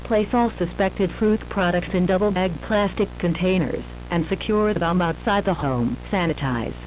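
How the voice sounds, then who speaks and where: Emergency alert announcer, mid-pitched, formal scripted read, measured delivery, slightly noisy recording.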